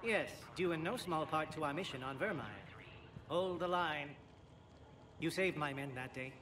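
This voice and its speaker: A man playing a brisk amphibian alien military officer in a video game, speaking in a clipped, formal, dry way. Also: fairly high pitch, a bit bright, lightly acted. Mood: pleased, formal. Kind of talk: video game cutscene acting. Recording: faint background noise in game capture.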